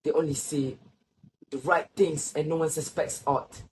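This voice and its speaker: A sorrowful old grandfather in a stage drama, acted by a man. His voice sits a little high and moves slowly and solemnly through reflective, literary lines. There is faint noise behind him. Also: fairly even intonation, close to an everyday voice, low-quality recording. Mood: grave, reflective. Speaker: student actor. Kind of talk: literary stage play acting.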